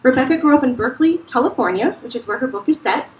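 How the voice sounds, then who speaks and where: A woman's voice: sits high, expressive, quick pace, slight background noise. Woman emcee, upbeat and chatty, in a roomy bar with a faint crowd murmur. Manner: upbeat, chatty, laughing easily. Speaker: host introducing readers. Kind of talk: live event hosting and raffle. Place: bar with a live audience. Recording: roomy live bar sound.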